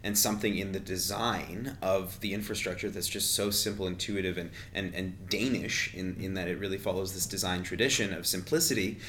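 A man from Montreal with a Canadian accent, speaking as a podcast guest. He explains his work earnestly, in a notably low voice with a faint hum behind him. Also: fairly even intonation, a little fast, hardly any pauses, fairly bright tone. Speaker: guest, urban consultant.

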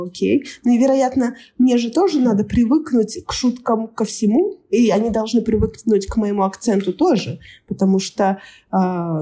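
Husky, animated woman with a mellow voice. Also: mid-range voice. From Kazakhstan. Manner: animated, laughs often, many fillers. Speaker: guest, agile coach. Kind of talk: casual interview chat.

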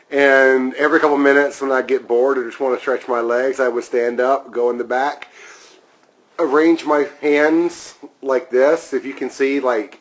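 Guy hosting a podcast, big loud voice, swears constantly, crude joker. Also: mid-range voice. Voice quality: loud, powerful voice. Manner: crude, swearing, laughs at own stories. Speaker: podcast host. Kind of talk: profane casual chat.

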